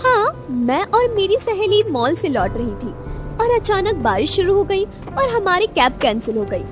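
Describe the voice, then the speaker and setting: A woman voicing a playful, curious young woman in a romantic audio drama, teasing and giggly in an expressive way. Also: very high-pitched, unhurried, music in the background, voice pushed up, full character voice. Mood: cheerful, chatty.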